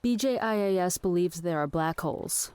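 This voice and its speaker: Earnest, lower-voiced woman researcher, as an actress plays her. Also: darkish tone, clean tone, no room sound, lightly acted. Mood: earnest, pedantic. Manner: measured, pedantic, matter-of-fact. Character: Lee, earnest, evasive woman researcher with fringe theories. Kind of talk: scripted audio drama acting.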